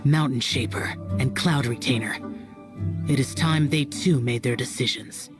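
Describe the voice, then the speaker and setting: A man playing a stern, aloof young immortal demon conqueror in a video game cutscene, solemn, clipped and measured. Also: medium pitch, natural voice. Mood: resolute. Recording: music behind the voice.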